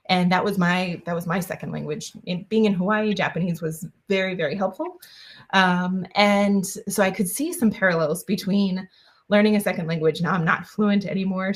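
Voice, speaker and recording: A woman guest on an interview podcast, answering in a chatty way with many fillers and restarts. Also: mid-range voice. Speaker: guest, engineer.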